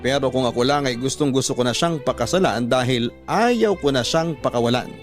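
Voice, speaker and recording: Radio drama narrator, played by a man: sincere hardworking lover, medium pitch, Filipino accent, soft violin underneath. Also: expressive, fairly quick, lightly acted. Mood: wistful, sincere. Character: devoted, hardworking man recounting his love story. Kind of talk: first-person scripted radio drama narration. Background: violin music.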